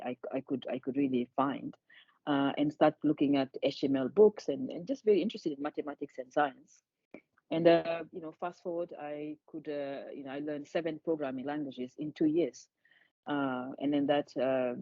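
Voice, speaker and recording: A woman talks earnestly as an interview guest, often repeating words as she restarts. Her voice is mellow and warm. The sound is dry and a little dull, as if lo-fi. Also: sits low, dry recording. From Senegal. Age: 50s. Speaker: charity founder, guest. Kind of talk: conversational interview.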